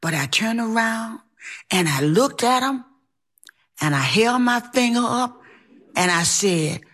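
A woman performs a personal story in a high, bright voice. Her delivery is folksy and emphatic, with a theatrical feel. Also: animated, slow. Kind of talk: live personal storytelling on stage.

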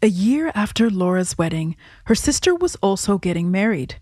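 A woman host giving measured, scripted documentary narration. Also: medium pitch, very animated, background noise.

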